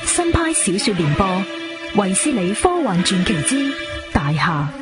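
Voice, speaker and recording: Cantonese lady doing scripted suspense show intro, lively delivery, big music behind her. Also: mid-range voice, a little slow. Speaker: programme announcer. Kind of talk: scripted suspense trailer and credits.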